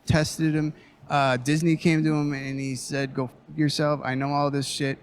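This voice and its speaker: A man's voice: a little high, flat delivery, moderate pace, clear, resonant tone. A man in a debate stating his views emphatically. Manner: emphatic, declarative. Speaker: debate participant. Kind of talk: debate argument.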